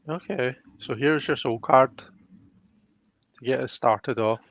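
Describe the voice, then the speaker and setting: A man giving a casual live card reading as host, thinking aloud in a halting way, on slightly dull audio. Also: mid-range voice, fairly bright tone. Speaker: host and tarot card reader.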